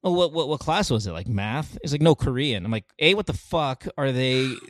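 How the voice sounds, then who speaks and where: An animated podcast host chatting casually and swearing freely. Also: medium pitch, moderate pace, dry recording, studio quality. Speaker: talk show host.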